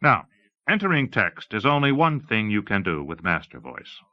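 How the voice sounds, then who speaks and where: A man's voice: on the low side, sing-song, moderate pace, voice pushed up. A man narrating an audiobook, voicing an eager, showy salesman with emphatic, carefully enunciated speech in slightly muffled audio. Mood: enthusiastic explaining. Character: eager, showy salesman demonstrating voice software to an audience. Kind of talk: audiobook character dialogue.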